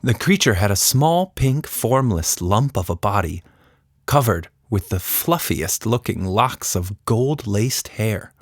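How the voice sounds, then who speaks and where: A man's voice: lowish voice, lively intonation, takes it slowly, no room sound, clean studio sound. A man narrating a scripted children's story as a storyteller.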